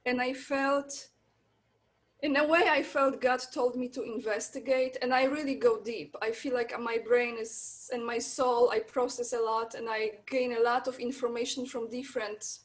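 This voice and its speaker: High-voiced Polish woman telling stories. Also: steady tone, moderate pace. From Poland. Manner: eager, rambling storyteller. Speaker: guest. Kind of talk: casual interview chat.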